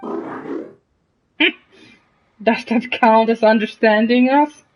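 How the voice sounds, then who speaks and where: A woman's voice: a little high, steady tone, drawn-out words, slight background noise. A woman streaming a game and reacting casually in short remarks, on a lo-fi mic with game music behind her.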